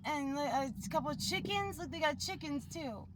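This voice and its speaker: A woman's voice: high voice, lively intonation, moderate pace, background noise. A woman talking casually and informally, telling an improvised story in a rambling way with plenty of filler "like".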